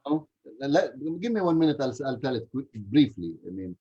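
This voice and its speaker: A man's voice: medium pitch, big pitch swings, fast, warm tone, highs cut off. A male panelist in an online debate who questions hard and tells anecdotes with many restarts.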